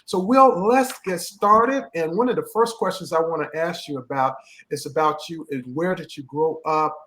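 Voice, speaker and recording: A man's voice: fairly high pitch, normal speed, a bit bright. A man hosting a live-streamed interview podcast, enthusiastic and repeating words for emphasis. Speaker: podcast host.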